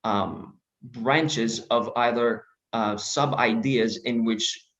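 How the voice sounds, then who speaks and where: A man originally from Greece giving an online presentation, speaking in a measured way with frequent pauses and restarts. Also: mid-range voice, steady tone, fairly bright tone, muffled recording. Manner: measured, many fillers and restarts. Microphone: video call.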